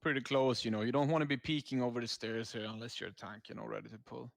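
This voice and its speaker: Man, gravelly fry in the voice, laid-back raid narrator, dry close recording, explanatory. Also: medium pitch, fairly even intonation, quick pace, no echo. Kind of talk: casual gameplay commentary.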